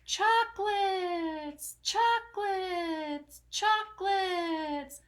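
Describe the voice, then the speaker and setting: A storybook cave echo, done by a woman, repeating words in a singsong. It rings out high and slow, stretching each word. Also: heavily acted. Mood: fading.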